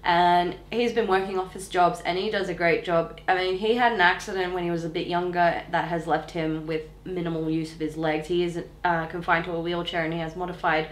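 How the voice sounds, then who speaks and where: A woman's voice: fairly low pitch, level tone, fairly quick, hardly any pauses, reverberant. A woman in her twenties with an Australian accent, chatting casually and bluntly, with colloquial opinions. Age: 20s.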